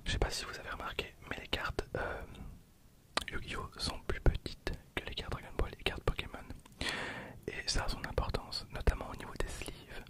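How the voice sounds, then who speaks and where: A man in his twenties speaks entirely in a hushed whisper. He murmurs casual ASMR commentary in an informal, chatty way, keeping his voice soft and breath-led throughout. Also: normal speed. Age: 20s. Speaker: ASMR video creator.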